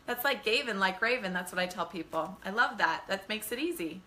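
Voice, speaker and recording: A woman's voice: medium pitch, animated, a little fast, bright, forceful tone. A woman hosting a casual live bedtime-reading stream as a children's author, chatting to viewers in a gushing, enthusiastic way. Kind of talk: casual livestream chat with viewers.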